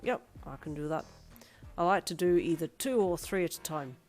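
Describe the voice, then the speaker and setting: A woman's voice: fairly low pitch, normal speed, darkish tone, faint music behind, lightly acted. A woman voicing a friendly, chummy Irish man who works as a piercer and tattoo artist in a narrated story. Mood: cheerful, chatty. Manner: chummy, colloquial, cheery.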